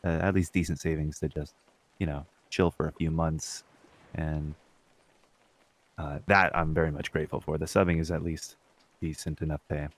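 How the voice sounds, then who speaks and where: A man's voice: a bass voice, steady tone, moderate pace, pauses often. A young man co-hosting a podcast, chatting informally and swearing casually. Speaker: podcast co-host; substitute teacher.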